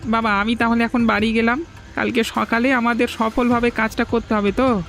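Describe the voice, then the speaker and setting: A man's voice: very high-pitched, level tone, normal speed, creaky, a bit bright, soft background music, heavily acted. A man playing a timid, earnest young villager in a fairy-tale cartoon, with an anxious, questioning manner. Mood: grateful, earnest. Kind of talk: dramatic dubbed cartoon dialogue.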